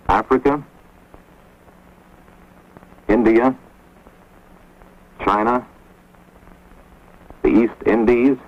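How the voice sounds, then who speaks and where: A man giving measured, grave scripted narration for an instructional film, recorded on a dull, lo-fi 1950s film soundtrack. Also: fairly low pitch. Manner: measured, grave, authoritative delivery.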